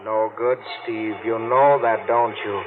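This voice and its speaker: A man's voice: on the low side, fairly even intonation, a little slow, slight background noise, close to an everyday voice. A man playing the vengeful ghost of a drowned sailor, ominous and taunting, in an old-time radio drama. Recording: narrow-band vintage radio recording with music.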